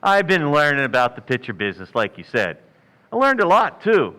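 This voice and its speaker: Man as radio-drama police lieutenant, wry, folksy, falsely modest, lively pitch, smooth clear voice. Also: brisk, exaggerated voice, low-quality recording. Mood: folksy, needling. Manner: drawling false modesty, hesitant asides. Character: wry, shrewd male police lieutenant, folksy, patiently needling. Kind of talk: old-time radio crime drama acting.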